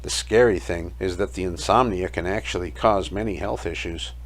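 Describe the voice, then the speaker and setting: A man narrating a first-person dramatic story in a reflective, measured way, reading from a script. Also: deep voice. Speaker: storyteller narrating his own audio play. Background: music.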